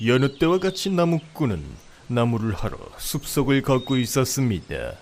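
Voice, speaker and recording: A man's voice: mid-range voice, sing-song, moderate pace, a little mellow, a little hiss or hum. A man narrating a comedic fairy tale from a script as a storyteller. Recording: outdoor nature ambience, birdsong behind.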